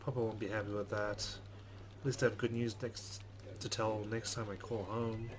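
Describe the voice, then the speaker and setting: A man's voice: fairly low pitch, flat delivery, normal speed. A man hosting a let's play, reading game text aloud dramatically and adding wry asides. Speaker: gaming host. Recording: faint background noise.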